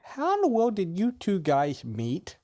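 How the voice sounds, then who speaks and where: A man's voice: sits high, wildly swooping pitch, a little slow, a little mellow. A man hosting a casual interview, introducing his guests and putting questions to them. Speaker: host, interviewer.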